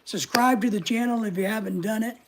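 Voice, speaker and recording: A middle-aged man with a high voice often taken for a woman's, chatting casually and joking at his own expense while reading viewer comments. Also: fairly quick, fairly bright tone, slight background noise. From United States. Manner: chatty, joking, self-mocking. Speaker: channel host reading live chat. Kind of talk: casual livestream chat.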